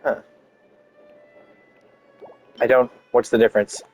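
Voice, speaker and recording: A man's voice: mid-range voice, level tone, slow, darkish tone, soft background music. Rambling man commenting over a game. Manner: rambling, thinking aloud. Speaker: gaming video host. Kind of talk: casual playthrough commentary.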